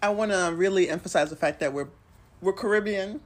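A woman's voice: medium pitch, fairly quick. A woman in her twenties from Haiti, co-hosting a podcast in a laid-back, joking casual chat. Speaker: podcast co-host.

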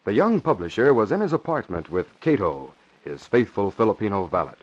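A man narrating an old-time radio drama as announcer, in a measured, emphatic dramatic style. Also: on the low side, sing-song. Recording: muffled old radio broadcast, background noise.

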